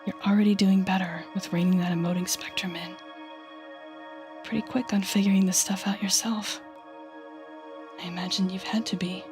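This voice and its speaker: A woman playing an intense, coaxing female telepathic villain with a secretly tender side in an intimate audio roleplay, speaking softly and often whispering with deliberate dramatic pauses. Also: mid-range voice, flat delivery, natural voice. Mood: tender, comforting. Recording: background music under the voice.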